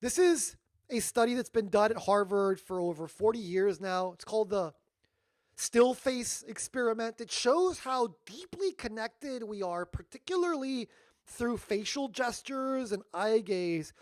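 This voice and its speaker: A man giving a storytelling talk as a workshop leader, animated but dropping to hushed tones at emotional moments. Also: high-pitched, measured pace, no room sound.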